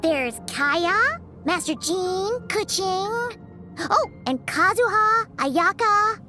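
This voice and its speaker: A squeaky, bouncy little companion creature. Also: female voice, extremely slow, heavily acted. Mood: chatty. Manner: talks about herself in third person, excitable. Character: tiny chirpy female floating companion creature. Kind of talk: video game cutscene dialogue. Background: game music.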